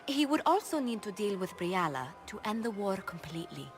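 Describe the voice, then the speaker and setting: An earnest woman adviser in a fantasy game, voiced by an actress. She is sharp and emphatic, with very lively intonation and some music underneath. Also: mid-range voice, a little fast, natural voice. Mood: concerned, urgent. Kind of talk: video game dialogue acting.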